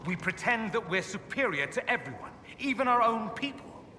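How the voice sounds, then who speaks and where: Casting: vain, witty noble mage for a fantasy game; eloquent, arch, very animated delivery, reverberant hall sound. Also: male voice, high voice, moderate pace, slight background noise, heavily acted. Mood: earnest, impassioned. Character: witty, vain, aristocratic male mage exiled from his homeland. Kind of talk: scripted video game character dialogue.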